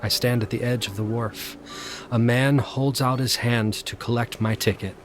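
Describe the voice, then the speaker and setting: An actor playing a haunted, obsessive man who narrates his supernatural terror in an intense, halting audio drama delivery. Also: mid-range voice, fairly even intonation, a little hiss or hum, natural voice. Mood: haunted, subdued. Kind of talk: audio drama first-person horror narration. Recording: loud music under the voice. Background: dramatic music.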